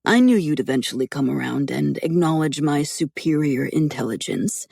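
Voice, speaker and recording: A woman voicing a calm, teasing young man. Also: on the high side, creaky, breathy edge, no echo, studio quality, voice pushed down, heavily acted. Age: 20s. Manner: measured, gently reasoning.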